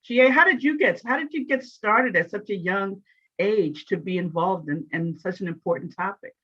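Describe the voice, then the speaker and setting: A woman's voice: mid-range voice, normal speed, a bit bright, slightly rough, dull audio. A woman moderating a panel, asking questions and restarting them as she goes.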